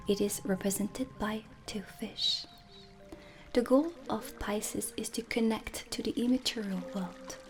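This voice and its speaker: Slow, soft-spoken woman, half whispering. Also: on the high side. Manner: soft, breathy, measured teaching delivery with deliberate pauses. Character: dark witch teacher, stern and aloof. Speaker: witch academy teacher in an ASMR roleplay. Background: ambient music.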